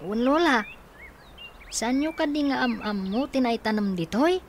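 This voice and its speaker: A woman's voice: a little high, expressive, unhurried, slight background noise. Earnest young boy, protective older brother voiced by a woman. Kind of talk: Ilocano radio drama acting.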